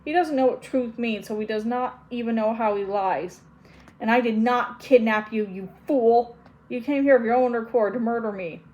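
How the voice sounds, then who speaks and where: A woman's voice: a little high, moderate pace, a little mellow, lo-fi sound, lightly acted. A woman voicing a bitter, cornered witch in a storybook reading, speaking in an intense, scornful way. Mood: accusing.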